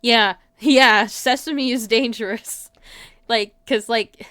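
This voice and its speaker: A woman's voice: on the high side, steady tone, takes it slowly, a bit bright, dry recording. A woman livestream host chatting casually with her viewers in an informal, giggly way, saying "like" often. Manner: giggly, informal, frequent filler "like".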